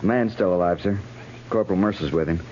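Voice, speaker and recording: Man as a low-voiced, earnest junior cavalry officer. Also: fast talker, darkish tone, natural voice. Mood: sober, shaken. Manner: formal, deferential. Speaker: radio drama actor. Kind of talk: 1950s radio western acting. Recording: muffled, hissy 1950s radio recording.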